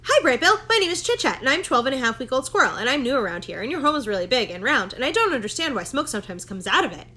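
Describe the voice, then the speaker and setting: A tiny girl squirrel from a storybook rattles on without stopping for breath, her voice pitched high and racing along, as a woman reading aloud puts her on. She is nervous and eager, and the words tumble out in one long run. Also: fast, few pauses, exaggerated voice. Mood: excited rambling. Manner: rambling, run-on excited chatter. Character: young chatty nervous female squirrel.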